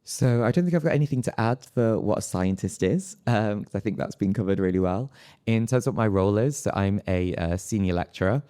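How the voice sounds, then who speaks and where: A man's voice: on the low side, animated, a little fast, warm tone, clear, resonant tone, dry recording, studio quality. A man, a university lecturer, talking informally about his work as a panel guest. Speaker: senior lecturer in psychology on a panel. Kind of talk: informal panel discussion answer.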